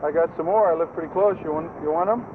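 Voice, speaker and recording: A man's voice: a little high, quick pace, natural voice. A man playing a coaxing, falsely friendly stranger in an educational film, recorded distantly on a muffled, noisy soundtrack.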